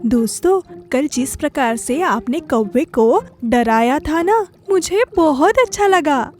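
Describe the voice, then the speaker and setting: A woman's voice: high voice, expressive, takes it slowly, a little mellow, faint music behind. A woman voicing a haughty, territorial female fish with a bossy, scornful manner in a children's cartoon. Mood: smug. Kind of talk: Hindi children's animated story acting.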